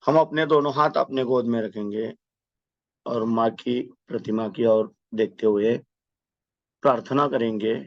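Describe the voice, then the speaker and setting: Slow talking guy guiding a meditation, devotional and measured, dry close sound but kinda dull. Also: mid-range voice, no echo. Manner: measured, devotional, instructing step by step. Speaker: guided meditation leader. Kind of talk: slow guided meditation with prayer.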